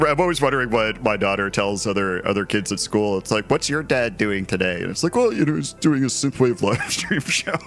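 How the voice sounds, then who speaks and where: A man's voice: on the low side, very animated, fairly quick, hardly any pauses, fairly bright tone. A man co-hosting a livestream in casual, joking chat with a teasing, self-deprecating manner. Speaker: livestream co-host. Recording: music playing underneath. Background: music.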